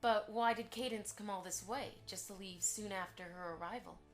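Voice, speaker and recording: A woman's voice: medium pitch, moderate pace, faint music behind, natural voice. A woman playing a formal, courteous and pointedly inquisitive pony publisher in a fan audio drama. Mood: polite curiosity. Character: polite, prying pony publisher, a probing female socialite.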